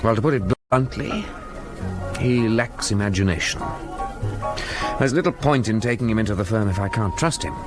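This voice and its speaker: A man's voice: on the low side, animated, normal speed, pauses often, over music, natural voice. A British man in a radio drama playing a brusque, critical businessman who runs a firm. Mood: cool, dismissive. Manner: curt, critical.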